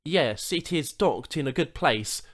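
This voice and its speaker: A man's voice: on the high side, animated, a little slow, fairly bright tone, pitched above the performer's own voice. A man voicing a friendly, patient human spacefarer with a plain-spoken, tactful manner in audiobook dialogue. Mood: curious, polite.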